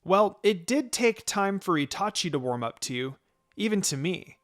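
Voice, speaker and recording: A man's voice: high voice, expressive, normal speed, pitched above the performer's own voice. A man voicing a teasing, outspoken teenage girl in a fan fiction story. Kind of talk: fan fiction character dialogue.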